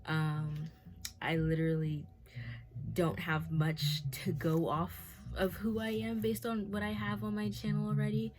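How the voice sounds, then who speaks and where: A woman's voice: mid-range voice, monotone, measured pace, darkish tone, faint music behind. A woman around twenty talking candidly to her camera, nervous and rambling, with many fillers and restarts. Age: 20s. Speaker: vlogger.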